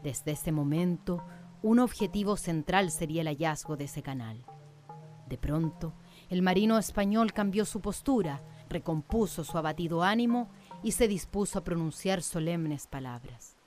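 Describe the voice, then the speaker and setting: A woman narrating a radio drama in a measured, literary storytelling manner. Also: fairly low pitch, animated, darkish tone, faint music behind.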